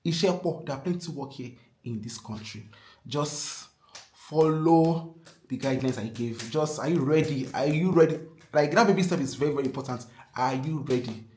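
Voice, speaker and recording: A man's voice: a little high, moderate pace, mellow, slight background noise. Emphatic Nigerian man, echoey room. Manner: emphatic, repeats key phrases, earnest and pleading. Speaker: vlogger. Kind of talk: casual advice vlog to camera.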